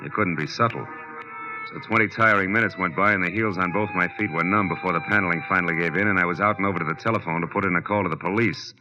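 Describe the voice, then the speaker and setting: An actor playing a tough, wisecracking American private detective in 1940s radio style, clipped and wry. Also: male voice, deep voice, quick pace, over music, natural voice. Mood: wry, brooding. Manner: clipped, wry, hard-boiled. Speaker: lead actor and narrator. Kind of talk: 1940s radio detective acting, hard-boiled first-person narration and dialogue. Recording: muffled vintage radio recording.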